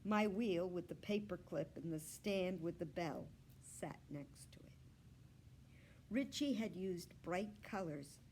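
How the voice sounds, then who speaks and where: A woman narrates a children's storybook in a slightly low voice. She takes her time, with deliberate, expressive delivery. There is some faint noise behind her. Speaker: children's librarian reading aloud.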